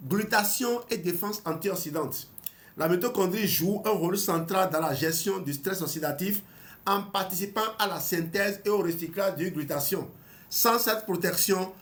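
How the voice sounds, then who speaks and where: A man in his fifties reading a health book aloud and adding his own comments, often hesitating and restarting. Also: fairly high pitch. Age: 50s. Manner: hesitant, with restarts and small laughs. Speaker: health channel host.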